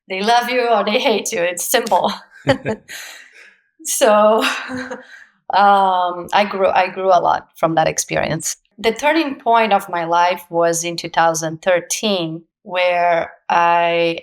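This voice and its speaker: A woman in her forties from Brazil, speaking English with a Brazilian Portuguese accent as a guest in an interview, telling her story with lively, laughing energy. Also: mid-range voice. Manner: animated storyteller, laughs often, acts out quoted voices. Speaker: guest, actress. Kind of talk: casual interview conversation.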